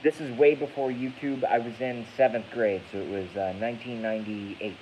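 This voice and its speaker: A man's voice: mid-range voice, fairly even intonation, normal speed, mellow. A man in his thirties from Massachusetts, ranting casually on a live stream in a rambling, profane way that often corrects itself. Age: 30s. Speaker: YouTube streamer. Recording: dull lo-fi with faint hiss.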